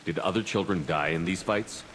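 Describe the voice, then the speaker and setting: An actress playing a steady, compassionate, firm and direct military commander in a space video game. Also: female voice, extremely low pitch, moderate pace, slight background noise, poor audio. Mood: concerned. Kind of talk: video game character dialogue.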